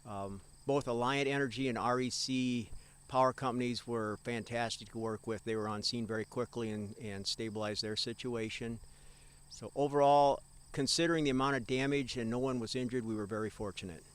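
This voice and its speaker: Clear-voiced American man in an interview. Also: mid-range voice, fairly even intonation, brisk. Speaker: fire chief interviewee. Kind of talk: unscripted interview answer.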